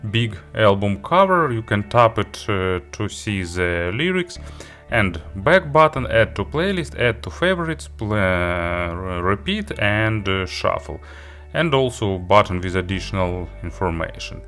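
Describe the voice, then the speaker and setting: A man giving a product review walkthrough, halting a little as he lists features item by item. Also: lowish voice, sing-song, fairly bright tone, soft background music. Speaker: tech reviewer demonstrating an audio player.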